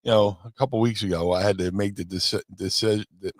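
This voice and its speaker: A man's voice: lowish voice, fairly even intonation, fast talker, dry recording, clean studio sound. A man, co-hosting a casual, profane chat and rambling with restarts. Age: 50s. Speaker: co-host.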